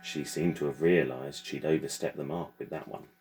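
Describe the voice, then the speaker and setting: Very deep-voiced male storyteller. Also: steady tone, normal speed, a little mellow, slight background noise. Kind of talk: reading a children's novel aloud.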